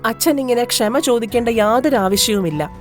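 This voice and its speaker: A woman voicing a devout, firm and protective young village mother in an animated moral story. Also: fairly high pitch, normal speed, natural voice. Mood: forgiving. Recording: music playing behind the voice.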